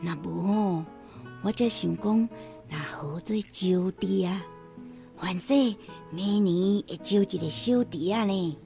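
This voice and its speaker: An old-fashioned Taiwanese Hokkien grandmother in a radio play. She speaks slowly and emphatically, stretching her words, with faint music behind her. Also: fairly low pitch, creaky, a little mellow. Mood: grudging. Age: older. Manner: sighing, drawn-out, emphatic delivery. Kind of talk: radio drama acting.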